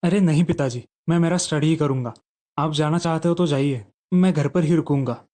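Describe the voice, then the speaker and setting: A man's voice: on the high side, fairly quick, mellow, lightly acted. A man voicing a studious, self-assured young son in a children's story. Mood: firm.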